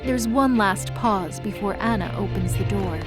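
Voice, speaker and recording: A woman's voice: medium pitch, normal speed. A woman narrator reading a scripted dramatic story. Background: music bed.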